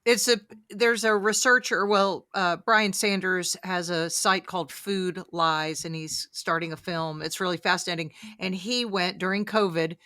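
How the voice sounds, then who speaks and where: A middle-aged woman hosting an interview, telling stories in an animated way and often affirming with "right.". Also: fairly low pitch, moderate pace, hardly any pauses, dry recording, studio quality. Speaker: podcast host. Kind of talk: casual interview chat.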